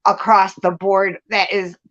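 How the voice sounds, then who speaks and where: A woman's voice: medium pitch, level tone, unhurried, fairly bright tone, highs cut off. A woman venting candidly and casually as host, blunt and profane, leaning hard on repeated phrases for emphasis. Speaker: host of a live commentary show.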